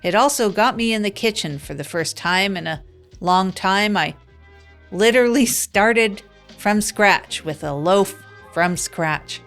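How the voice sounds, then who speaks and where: A woman's voice: mid-range voice, a little slow, a bit bright, soft background music. A woman playing a fictional small-town radio host, rambling through a self-deprecating improvised comedy monologue. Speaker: radio show host character.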